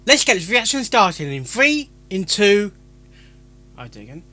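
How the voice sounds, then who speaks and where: A man hosting a reaction, giving casual, excitable commentary with playful repeated interjections. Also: sits high, a little slow, faint music behind. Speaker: reaction host. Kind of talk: excited casual commentary over a fan fiction reading.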